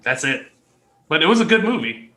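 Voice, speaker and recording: A woman co-hosting a casual chat, her voice bright and sharp. She rants in an exasperated way, curses and mocks what she describes in little put-on voices. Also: sits low, very animated, normal speed. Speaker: podcast co-host.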